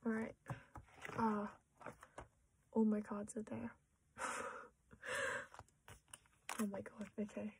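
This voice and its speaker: A young Australian woman chatting quietly to camera while sorting her collection, often dropping into a half-whisper and poking fun at herself. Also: mid-range voice, monotone, slow, pauses often, slight background noise. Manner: soft-spoken, often half-whispering, self-deprecating. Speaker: collecting vlogger. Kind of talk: casual chat while sorting cards.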